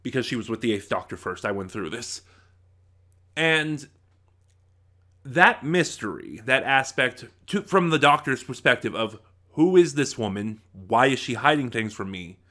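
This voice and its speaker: A man giving an informal spoken review as a video host, often halting and rephrasing himself as he thinks aloud. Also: mid-range voice, sing-song, sharp tone.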